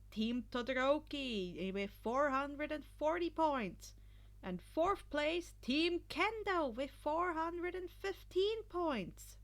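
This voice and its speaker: Female festival announcer acted by a woman, official, commanding, high-pitched, deliberate pacing. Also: fairly bright tone, heavily acted. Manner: projected, measured announcing.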